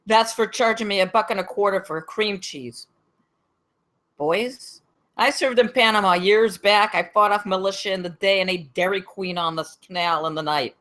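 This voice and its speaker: An actress playing a brash, pompous ex-military campus security captain in a comedy table read, blunt and commanding. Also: female voice, mid-range voice, moderate pace, a bit bright, close to an everyday voice. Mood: boastful, commanding. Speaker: actor in a screenplay table read. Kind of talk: comedy script read aloud.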